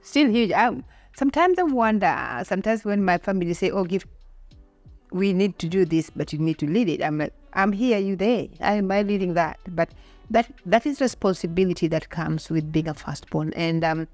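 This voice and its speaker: A woman's voice: fairly low pitch, lively intonation, normal speed, darkish tone, dry recording, faint music behind. A middle-aged woman with a Kenyan accent talking about her own life in a conversational interview. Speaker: podcast guest.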